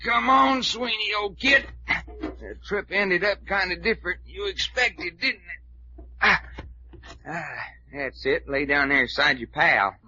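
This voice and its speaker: An actor playing a folksy, wisecracking Southern US sidekick with a colloquial drawl, in an old-time radio serial. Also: male voice, high-pitched, lively intonation, unhurried, bright, forceful tone, exaggerated voice. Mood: straining, joking. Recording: dull, noisy old radio recording.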